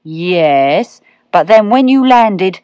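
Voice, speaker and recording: A woman's voice: medium pitch, lively intonation, no room sound, highs cut off. A woman doing Orion, a drawling kindly cat. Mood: gentle. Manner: drawling, gentle and unhurried. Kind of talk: storybook reading.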